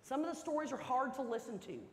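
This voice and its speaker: A woman hosting an orientation program, giving a welcome speech with deliberate, emphatic delivery in a hall. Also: sits high, expressive. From Texas resident, not from Texas. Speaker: program host. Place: large indoor auditorium stage. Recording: echoey hall, some background noise.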